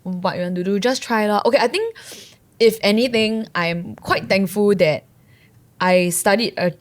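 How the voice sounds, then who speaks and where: A woman's voice: mid-range voice, normal speed. Earnest young singaporean woman. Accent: Singaporean English. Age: young adult. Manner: earnest, self-described dramatic. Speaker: guest. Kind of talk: casual podcast chat.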